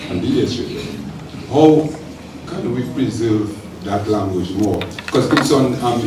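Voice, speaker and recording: A man with a Dominican accent speaking off the cuff from the audience at a public lecture, animated and repeating phrases for emphasis. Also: on the low side. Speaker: audience member, local communicator and radio presenter. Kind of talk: impromptu comment and question at a public lecture. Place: echoing lecture hall, public event. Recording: echoey hall, distant mic, clear background noise.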